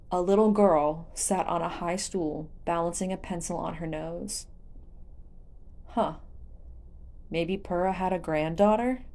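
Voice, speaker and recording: A woman's voice: lowish voice, warm tone. A woman reading a story aloud as scripted audiobook narration. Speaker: audiobook narrator of fan fiction.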